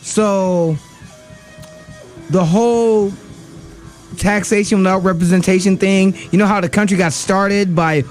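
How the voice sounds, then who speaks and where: A man's voice: sits high, steady tone, measured pace, pauses often, soft background music. Heated man in a political rant. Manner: heated, argumentative, with many fillers and restarts. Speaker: radio show co-host. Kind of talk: political commentary.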